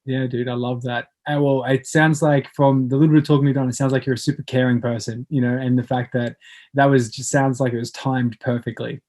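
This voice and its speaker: A man's voice: medium pitch, level tone, brisk, a little mellow. Guy hosting a chill interview, laid back, says dude a lot. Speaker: podcast host. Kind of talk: casual interview.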